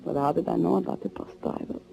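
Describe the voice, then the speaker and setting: A woman's voice: fairly low pitch, fairly even intonation, fast talker, creaky, mellow. An actress playing an anguished young woman in a 1940s radio thriller, speaking in a dramatic, fearful way. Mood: tense narration. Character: anguished young woman fleeing to avenge her lover. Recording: noisy 1940s radio recording.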